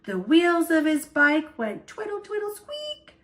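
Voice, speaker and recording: Woman, children's storyteller, expressive read-aloud, lively range, unhurried pace, playful emphasis. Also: very high-pitched.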